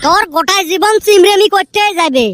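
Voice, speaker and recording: A woman voicing a cheeky, nagging youngster in a comic cartoon, sharp-tongued and full of complaints. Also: extremely high pitch, a little fast, no room sound. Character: cheeky nagging youngster demanding comforts and marriage.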